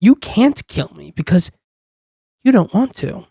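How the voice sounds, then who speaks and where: Smug demon contract-dealer, acted by an amateur performer, mid-pitched, dry intimate ASMR delivery, teasing and in control. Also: big pitch swings, vocal fry, dark tone, husky, dry recording, exaggerated voice. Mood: taunting. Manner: teasing, coaxing, unhurried with lingering pauses. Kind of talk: ASMR roleplay, intimate scripted monologue.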